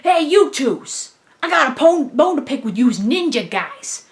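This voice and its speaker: A woman's voice: sits high, big pitch swings, unhurried, pitched above the performer's own voice, full character voice. A woman voicing a big, dim, belligerent male thug in a cartoon, with slangy, ungrammatical tough-guy talk. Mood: angry, aggrieved.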